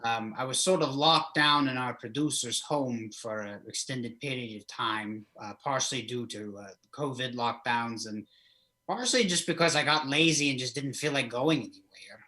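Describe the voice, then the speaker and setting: A man's voice: mid-range voice, normal speed, pushed and bright, lightly acted. A man playing a flamboyant old vampire count from Eastern Europe as a comic horror host, rambling hesitantly through an interview in character. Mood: musing.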